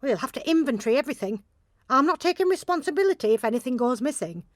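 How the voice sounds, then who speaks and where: A woman's voice: sits high, lively intonation, fast talker, studio quality. Nosy busybody caretaker woman in an audio play, blunt n chatty, no room echo. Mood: gossipy. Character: gossipy, suspicious, blunt female building caretaker. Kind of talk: naturalistic audio drama dialogue.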